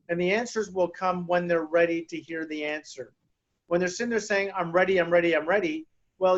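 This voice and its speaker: A man with a notably high voice talks through grounding and chakras over a video call. He hesitates often, with many fillers and restarts. The audio sounds dull and lo-fi. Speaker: guest speaker on grounding and chakras. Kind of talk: casual explanatory talk over video call.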